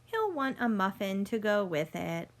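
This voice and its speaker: A woman reading a picture book aloud like a teacher, speaking deliberately to young listeners and explaining as she goes. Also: medium pitch, big pitch swings. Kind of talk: read-aloud storytelling with teaching asides.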